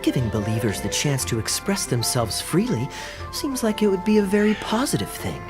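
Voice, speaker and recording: A man's voice: a little high, sing-song, normal speed, music in the background. A man voicing a friendly, earnest organizer in a fantasy game, upbeat and persuasive.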